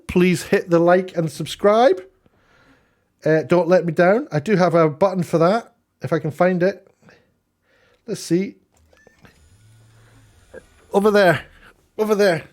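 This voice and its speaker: A man giving unscripted opinion commentary as an online host, rambling and often correcting himself as he goes. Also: high voice. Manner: halting, rambling, self-correcting.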